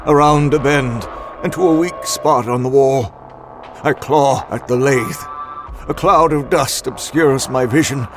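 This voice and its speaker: A man reading a first-person horror story with a measured, dramatic delivery. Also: mid-range voice. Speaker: narrator. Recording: music bed underneath.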